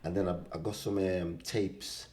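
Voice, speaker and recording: A man's voice: low voice, flat delivery, normal speed, over a noisy crowd. A man, chatting casually as an interview guest and telling anecdotes, often repeating himself. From West Indies. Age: 60s. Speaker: podcast guest, singer-songwriter.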